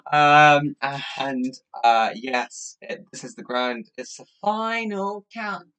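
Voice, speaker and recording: A young man from Latvia, a native Russian speaker, chatting casually as a livestream host in a rambling, halting way. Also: on the high side, bright, forceful tone, clean tone, rough recording. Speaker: livestream host and youth charity campaigner. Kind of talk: casual livestream chat, thanking viewers.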